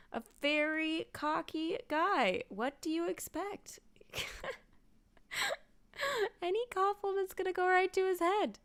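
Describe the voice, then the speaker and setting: A woman's voice: sits high, fairly bright tone. A young woman doing casual live gameplay commentary, reacting aloud playfully and trailing off, over game music. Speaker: game streamer.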